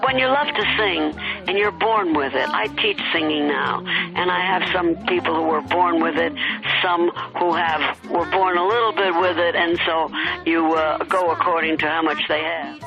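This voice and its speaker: A woman's voice: fairly low pitch, moderate pace, vocal fry, dull audio. An older woman, a former singer who now teaches singing, recalls her career in a wry, self-mocking way. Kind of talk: reminiscing interview. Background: music underneath.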